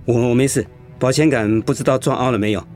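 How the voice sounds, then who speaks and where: An actor playing an overworked, dutiful middle manager and husband, weary and put-upon, in a radio drama. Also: male voice, medium pitch, quick pace, darkish tone, soft background music, natural voice. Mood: tired, dazed.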